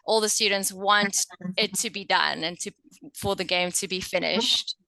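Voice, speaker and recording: A woman's voice: mid-range voice, normal speed, vocal fry, pushed and bright, no room sound. A woman speaking as an online teacher in a casual group discussion.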